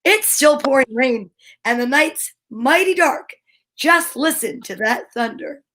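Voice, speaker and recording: A woman playing an old-time radio announcer, pitching and narrating a children's adventure serial. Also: animated, measured pace, a bit bright, heavily acted. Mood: dramatic narrating. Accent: put-on French, then Jersey. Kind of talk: 1930s radio show re-enactment.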